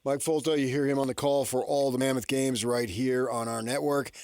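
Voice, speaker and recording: A man's voice: mid-range voice, fairly even intonation, fairly quick, a little mellow, faint music behind. A man hosting sports radio, talking informally and arguing his points in short conversational phrases.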